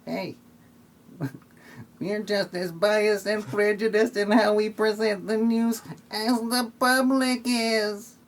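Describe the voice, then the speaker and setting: A woman playing a smug television news anchor in a comedy audio play, with a stilted, deliberate manner. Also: mid-range voice, fairly even intonation. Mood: stilted, matter-of-fact.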